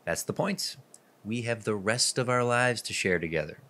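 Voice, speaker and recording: A man's voice: on the low side, moderate pace, sharp tone. A man playing an earnest, jittery newlywed husband in a radio drama. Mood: reassuring.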